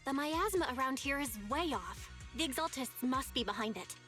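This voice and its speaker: A woman playing a brisk, alert young heroine in a video game. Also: high voice, animated, pushed and bright, soft background music. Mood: focused, assured. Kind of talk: English video game voice acting.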